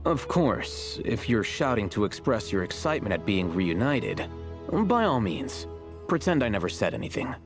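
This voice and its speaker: An actor voicing an aloof young male scholar in a video game, with dry, wordy, deadpan sarcasm. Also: mid-range voice, normal speed, lightly acted. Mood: dry, sarcastic. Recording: music behind the voice.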